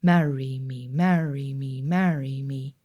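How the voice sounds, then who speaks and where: A woman voicing a reserved young gentleman suitor who speaks low, hushed and earnest. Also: steady tone, slow pace, highs cut off, heavily acted.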